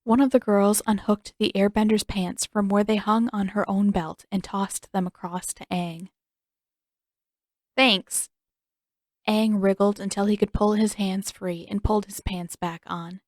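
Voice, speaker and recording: A woman narrates fiction as an audiobook reader. Her voice has a middling pitch and the sound is dry and close, with hardly any echo. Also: fairly even intonation, moderate pace, few pauses, darkish tone. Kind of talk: scripted story narration.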